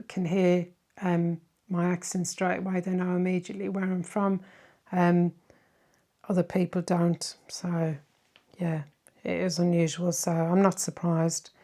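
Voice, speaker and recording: A middle-aged woman with a softened Irish accent, chatting casually as she answers viewer questions. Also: lowish voice, flat delivery, measured pace. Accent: Irish, softened by decades in southern England. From Ireland. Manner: chatty and warm-hearted, many "um"s and tag questions. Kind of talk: casual Q&A chat.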